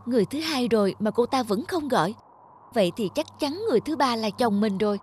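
A woman voicing a scheming, covetous snake demon disguised as a young wife in an animated folktale. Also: on the high side, fairly quick, slightly rough. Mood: scheming, calculating. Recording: music under the voice.

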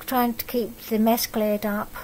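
Storybook neighbour woman, motherly and sympathetic, slightly high, slow delivery female reader; noisy home audio. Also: little pitch movement, a little mellow, lightly acted. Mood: weary relief. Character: kindly, sympathetic neighbour woman in a picture book.

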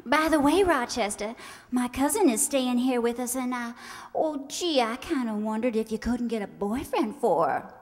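A woman's voice: on the high side, expressive, normal speed, natural voice. A young woman playing a sweet, coy girlfriend in an old-time radio comedy. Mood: coy, coaxing. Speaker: amateur radio-play actor. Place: large indoor stage hall, stand mic. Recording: reverberant hall, stage microphone.

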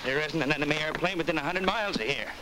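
An actor playing a lazy, cocky young American soldier in a 1940s film, slangy and offhand. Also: male voice, on the high side, racing, natural voice. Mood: griping, dismissive. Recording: noisy old outdoor film soundtrack.

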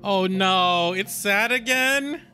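Guy streamer hyped reacting to a trailer, kinda high voice, close mic, faint music behind. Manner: animated, gushing, quick exclamations. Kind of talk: excited reaction commentary. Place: home streaming room with colored lights. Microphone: studio mic on boom arm, headset. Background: game trailer music.

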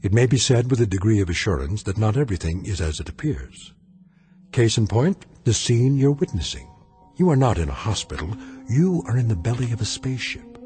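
An actor as the grave, all-knowing narrator of an eerie radio anthology, measured and formal. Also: male voice, on the low side, warm tone, natural voice. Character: grave omniscient male narrator of eerie space tales. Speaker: narrator, host.

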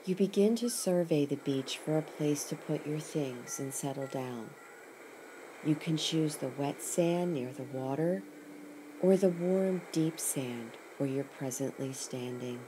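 A woman with a notably low voice leads a scripted guided meditation. She speaks very slowly and soothingly, setting each short phrase apart with a pause. Clear ambient noise runs through the recording. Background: ocean sounds.

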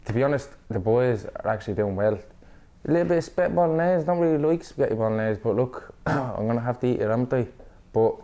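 Brief: easygoing host, mid-pitched, rambling intro. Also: male voice, animated, quick pace, dark tone, muffled recording. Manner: laid-back, rambling. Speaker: podcast host. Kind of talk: casual introduction.

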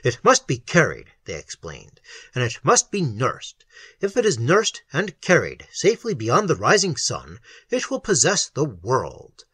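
Horror audiobook role: male reader as haunted, anguished explorer, moaning and faltering, husky, wildly varied pitch. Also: huge pitch swings, bright, forceful tone, no room sound, heavily acted. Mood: haunted, fervent. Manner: halting, moaning and sobbing, anguished. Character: tormented, trembling male explorer back from captivity. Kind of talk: dramatic horror audiobook acting.

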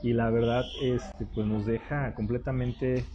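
A man's voice: mid-range voice, flat delivery, a little slow, dull audio. Eager Mexican man interviewing, crowd behind. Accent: Mexican Spanish. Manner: eager, courteous, many fillers. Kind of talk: casual live interview. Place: indoor seating area with a painted wall.

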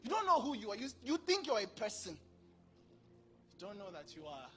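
A man in his thirties preaching a sermon into a handheld mic on a large church stage, acting out dialogue with sudden bursts of loudness. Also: high-pitched, a little fast, soft background music. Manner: animated, acts out dialogue, bursts of volume. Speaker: pastor.

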